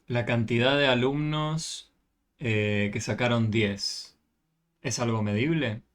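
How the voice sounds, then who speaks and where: A man's voice: mid-range voice, takes it slowly. A man tutoring a math class over a video call, patiently explaining and often checking with questions.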